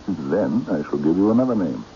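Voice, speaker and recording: An actor playing a mysterious, courtly and commanding eccentric man with a gravelly, deliberate delivery, in old-time radio mystery style. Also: deep voice, heavily acted. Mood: softly commanding. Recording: dull, noisy old radio recording.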